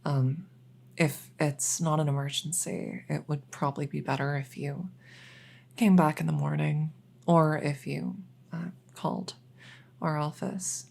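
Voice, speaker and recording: Low, creaky-voiced woman acting a polite vet. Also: steady tone, a little slow, darkish tone, heavily acted. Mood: apologetic, polite. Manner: hesitant, polite, matter-of-fact. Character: soft-spoken, polite night vet receiving a supernatural patient. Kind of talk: ASMR audio roleplay.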